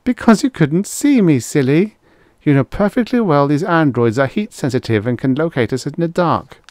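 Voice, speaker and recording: A man voicing a feisty young woman raider in an audiobook story, brisk, chatty and sharp-tongued. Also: a little high, lively intonation, no room sound, clean studio sound, voice pushed up, natural voice. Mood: teasing. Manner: brisk, indignant whisperer with casual chattiness.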